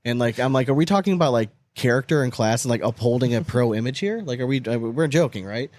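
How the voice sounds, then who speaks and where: A man hosts a casual sports podcast in a middle-pitched voice. He is blunt and emphatic and swears easily. The sound is dry, with very little room in it. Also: expressive, quick pace, darkish tone, dry recording. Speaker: podcast host.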